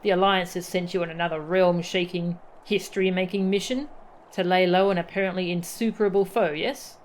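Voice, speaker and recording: A woman's voice: fairly low pitch, fairly even intonation, brisk, a little hiss or hum, close to an everyday voice. A woman voicing a hearty fantasy-game adventurer who teases an old friend in a familiar way. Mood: teasing.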